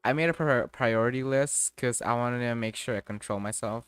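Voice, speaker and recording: A man live-streaming a game, thinking aloud in a casual, halting way with some mild swearing. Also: medium pitch, a bit bright, clean tone, dry recording.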